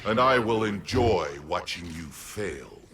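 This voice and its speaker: An actor playing a cruel, taunting Sith emperor in a video game, gravelly and menacing. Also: male voice, on the low side, lively intonation, takes it slowly, a bit bright, a little hiss or hum. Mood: smug menace. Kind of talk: dramatic video game villain acting.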